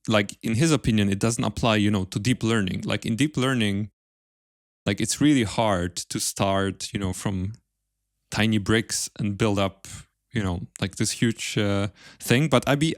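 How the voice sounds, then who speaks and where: A man hosting a casual technical podcast interview, speaking in halting phrases with repeated words. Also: on the low side, no echo, clean studio sound.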